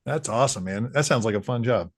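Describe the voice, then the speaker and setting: A man's voice: medium pitch, fast, breathy edge. Upbeat male interviewer. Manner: enthusiastic, encouraging. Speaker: interviewer host. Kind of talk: casual interview chat.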